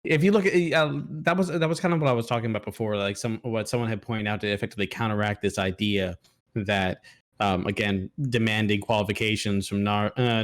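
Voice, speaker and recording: Halting, casual man talking movies. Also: on the low side, a bit bright. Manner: halting, rambling, profane. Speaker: movie review co-host. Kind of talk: casual film discussion.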